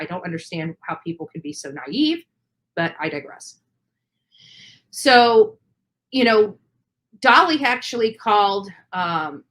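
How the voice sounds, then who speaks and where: Bright, forceful woman with an aggrieved edge. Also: lowish voice. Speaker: live stream host. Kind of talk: informal live commentary on a true crime case.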